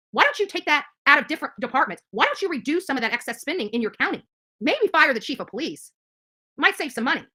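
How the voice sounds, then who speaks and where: A middle-aged woman talks fast and hot, her pitch swinging widely as she rants at the camera. Her voice sits on the high side and has a bright, forceful edge. She sounds indignant and confrontational and keeps hammering the same points. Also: husky. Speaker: activist streamer. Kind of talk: angry direct-to-camera rant.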